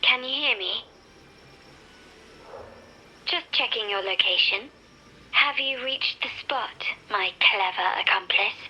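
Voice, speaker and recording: A woman playing a sly, poised young heiress in a video game, coy and measured as she directs an accomplice. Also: fairly high pitch, bright, forceful tone, highs cut off, a little hiss or hum, exaggerated voice. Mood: playful, secretive.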